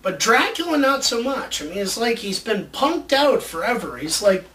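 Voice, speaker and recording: A man's voice: extremely high pitch, big pitch swings, normal speed, pushed and bright. A man hosting a casual chat show about movies, rambling along with enthusiasm. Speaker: show host. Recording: distant mic, faint background noise.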